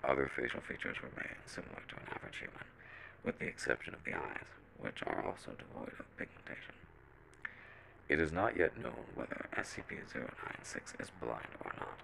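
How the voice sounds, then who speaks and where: A man playing a measured, clinical reader of a scripted horror audio log, with a very deep, gravelly voice. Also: animated, noisy background, full character voice. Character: SCP Foundation document reader and shaken field soldier.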